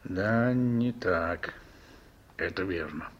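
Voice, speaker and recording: A man's voice: sits low, drawn-out words, dull audio. An actor playing a weary, proud reformed ex-convict man in a Soviet radio drama, speaking tersely. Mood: guarded, subdued.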